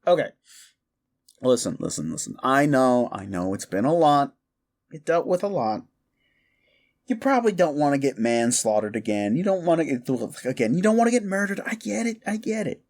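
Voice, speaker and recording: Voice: medium pitch, big pitch swings, normal speed, a little mellow. A performer of unclear sex playing a mischievous, exasperated modern necromancer in a comedic audio roleplay, rambling casually and swearing with incredulity. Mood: placating. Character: mischievous, exasperated necromancer prankster, gleefully amoral.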